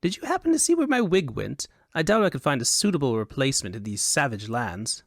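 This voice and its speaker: A man voicing a vain, excitable, dim-witted foppish nobleman in an audiobook, with an affected, haughty, theatrical delivery. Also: mid-range voice, brisk, creaky, no echo, clean studio sound. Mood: haughty, offended. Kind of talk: audiobook character acting.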